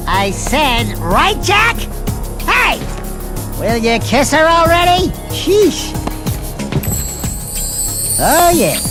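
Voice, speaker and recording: A little wisecracking male critter, very high and wildly animated. He drawls out comic, boastful lines as a cartoonish game sidekick, with music behind. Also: exaggerated voice. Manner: exaggerated, comic.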